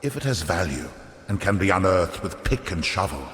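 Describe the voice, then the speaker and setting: An actor playing a wily, vain, centuries-old merchant lord in a video game, pompous and theatrical, with ornate aristocratic diction. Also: male voice, low-pitched, big pitch swings, normal speed, fairly bright tone. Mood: reverent, lofty. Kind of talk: scripted video game character monologue. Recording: echoey hall with long reverb.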